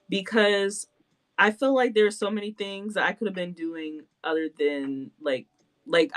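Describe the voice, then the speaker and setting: A woman in her late twenties chatting casually as a podcast host, self-deprecating and laughing often. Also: lowish voice. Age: 20s. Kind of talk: casual chat.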